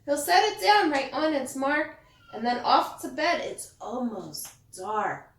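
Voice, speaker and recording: A woman reading a bedtime story aloud to children like a teacher, in an expressive sing-song way that stresses the rhymes. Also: high voice, takes it slowly. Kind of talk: bedtime story read-aloud with asides to young listeners. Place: echoey indoor room. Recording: echoey room, distant mic.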